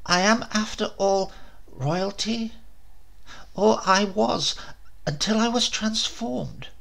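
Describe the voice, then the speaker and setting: A man's voice: high voice, takes it slowly, gravelly, a bit bright, pitched above the performer's own voice, heavily acted. A man voicing a pompous male dove, a transformed prince, who speaks in a prim, easily offended way. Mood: haughty. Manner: fussy, haughty and easily offended.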